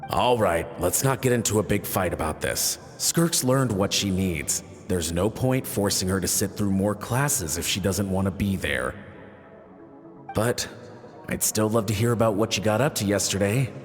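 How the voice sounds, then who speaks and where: A man playing an easygoing, indulgent village father in a dubbed anime game, relaxed and gently teasing. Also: lowish voice, normal speed, close to an everyday voice. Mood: placating. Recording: long reverb, music behind.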